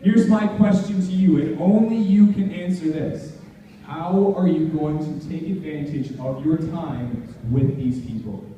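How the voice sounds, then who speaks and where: A young man speaks in a high voice, delivering an emphatic, informal motivational speech. The sound is heavily echoey and captured from far off, as in a large hall. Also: unhurried, warm tone, highs cut off, slight background noise. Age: young adult. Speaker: motivational speaker at a student leadership conference. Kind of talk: motivational talk to a live audience. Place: large indoor conference hall.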